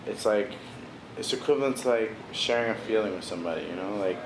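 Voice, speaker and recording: Young man, low-set voice, relaxed slang-heavy guest, roomy echo with steady background noise. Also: fast. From Washington DC area. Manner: laid-back, heavy on "bro" and "you know" fillers. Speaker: visual artist, interview guest. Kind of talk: casual interview chat. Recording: echoey room with noticeable background noise.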